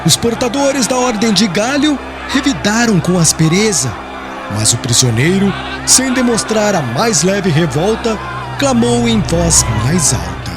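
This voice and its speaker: A man's voice: high voice, very animated, measured pace, crowd noise. A man narrating an audiobook in a solemn, formal storytelling manner. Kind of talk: scripted literary narration. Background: music.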